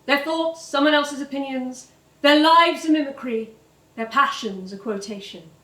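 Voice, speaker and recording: A grave, reflective reciter, speaking literary prose in a measured theatrical way. Her voice is very high for a woman, and it echoes in a room, some way from the mic. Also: fairly bright tone. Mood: solemn, reflective. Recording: echoey, distant stage recording.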